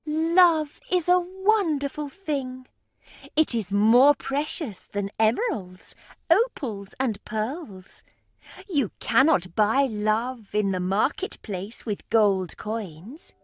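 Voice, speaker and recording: A woman's voice: on the high side, lively intonation, takes it slowly, dry recording, studio quality. A woman voicing a romantic, devoted little nightingale in a storybook, earnest, wistful and pleading. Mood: wistful, admiring.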